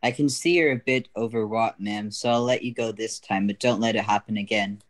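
A man's voice: fairly low pitch, brisk, lightly acted. An older man playing a lenient, matter-of-fact police officer in an amateur radio play. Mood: mildly stern.

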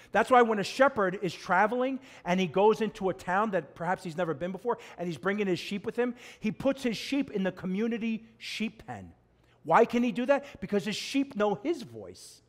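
Impassioned high-voiced male preacher. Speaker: pastor. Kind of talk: sermon. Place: church sanctuary, at a pulpit.

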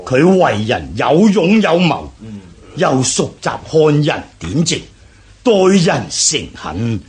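A slow, sweeping Xiongnu noble orator. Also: male voice, on the high side. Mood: earnest, persuasive. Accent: Hong Kong Cantonese. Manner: declamatory, oratorical. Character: eloquent, resolute Xiongnu noble rallying his tribesmen. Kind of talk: Cantonese radio historical drama acting.

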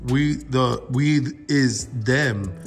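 A man's voice: medium pitch, extremely slow. A man hosts a casual car vlog in an open garage, filmed on a phone. He speaks in loose slang and drives points home by repeating them, with music faintly in the background. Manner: emphatic, repeats himself, calls everyone bro. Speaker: YouTube car channel host. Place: open-fronted metal garage workshop. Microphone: handheld phone camera nearby.

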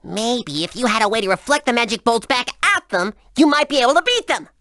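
A woman's voice: a little high, fairly quick, no room sound, studio quality. A woman playing an eager, chatty, slightly boastful helper creature in a video game. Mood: urgent. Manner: lively, chatty. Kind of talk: scripted video game character dialogue.

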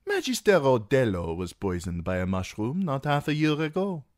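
A man putting on a fat, self-satisfied merchant lord who purrs his taunts in a genial, drawn-out, theatrical way. The voice sits noticeably higher than a plain deep baritone and swings widely in pitch. It is recorded dry and close. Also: huge pitch swings, no room sound. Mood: mocking. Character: fat, jovial merchant magister, smug and needling. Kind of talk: audiobook character reading.

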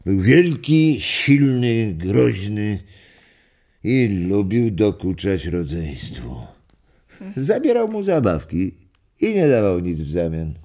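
An actor playing a playful, teasing old grandfather in a children's audio drama, telling stories with theatrical, drawn-out emphasis. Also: on the low side, very animated, mellow, heavily acted. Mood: teasing, dramatic.

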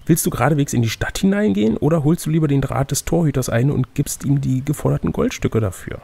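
A man voicing a suspicious gatekeeper, gravelly voice. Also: fairly high pitch, fast, warm tone. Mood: grudging. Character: suspicious, mercenary male city gatekeeper.

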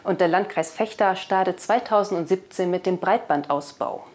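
A woman's voice: lowish voice, normal speed, darkish tone. A woman in her thirties with a German accent presents scripted local news as the host of a weekly video bulletin. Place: indoor video studio. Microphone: lapel mic.

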